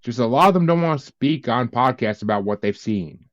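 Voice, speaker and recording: A man's voice: mid-range voice, lively intonation, brisk, no room sound. A man hosting a podcast in a casual chat, joking, laughing and swearing freely. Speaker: podcast host. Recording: dull, lo-fi, dry sound.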